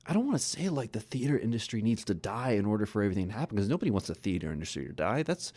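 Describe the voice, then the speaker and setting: A man's voice: fairly low pitch, big pitch swings, very fast, darkish tone. A man hosting a podcast, giving his opinions in informal, conversational talk and thinking aloud as he goes. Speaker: podcast host.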